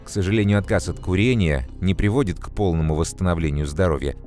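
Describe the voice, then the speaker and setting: A man voicing a calm adult scientist who explains things to children in a measured, earnest way. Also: low-pitched, soft background music, natural voice. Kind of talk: scripted educational narration.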